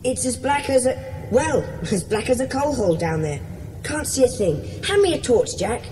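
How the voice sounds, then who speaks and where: A woman playing a bossy, sensible schoolboy leader in a children's audio drama, speaking in a brisk, decisive way. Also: on the high side, sing-song, music in the background.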